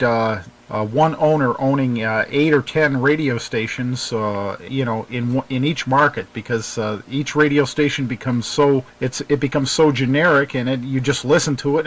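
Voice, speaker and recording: A man's voice: mid-range voice, normal speed, hardly any pauses, poor audio. An American man hosting a radio talk show in a casual, conversational way, hesitant and agreeable.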